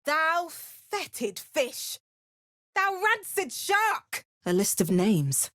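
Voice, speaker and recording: Measured, watchful female fantasy game adventurer. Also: high voice, big pitch swings, pushed and bright, no echo, clean studio sound, full character voice. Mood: urgent, commanding. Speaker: voice actor for a player character. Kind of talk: fantasy video game voice acting.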